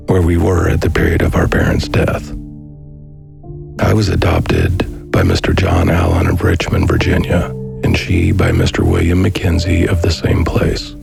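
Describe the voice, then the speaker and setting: A man reading a scripted documentary narration in a measured, formal manner. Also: sits low, vocal fry. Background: music.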